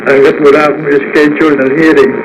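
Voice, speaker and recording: A man playing an anguished, loving middle-aged jailed father in a Filipino radio drama, earnest and halting. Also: on the high side, flat delivery, lightly acted. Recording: noisy radio broadcast.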